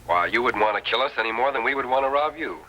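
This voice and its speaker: An actor playing a calm, upright masked western hero with measured, authoritative diction in an old radio drama. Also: male voice, medium pitch, poor audio. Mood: calm assurance. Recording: vintage radio drama, faint music.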